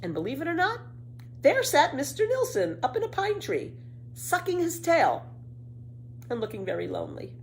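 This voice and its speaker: A woman reading a children's story aloud with dramatic, emphatic, expressive narration. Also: sits high, normal speed, creaky, rough recording. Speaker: storybook reader. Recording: faint background noise.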